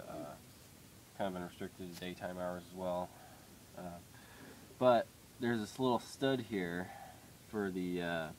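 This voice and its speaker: A man's voice: low voice, a little mellow, slight background noise, poor audio. A young man with a nasal voice from a head cold, giving a rambling, hesitant update on his car project from a dim workshop. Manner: rambling, hesitant, lots of fillers. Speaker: car hobbyist vlogger. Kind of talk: casual garage update. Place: workshop with poor lighting.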